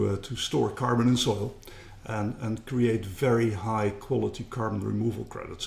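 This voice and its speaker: A man's voice: mid-range voice, vocal fry, darkish tone, reverberant. A middle-aged man with a Dutch accent, talking earnestly as a guest in a casual interview and often repeating words as he starts a thought. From Netherlands. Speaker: guest, company co-founder.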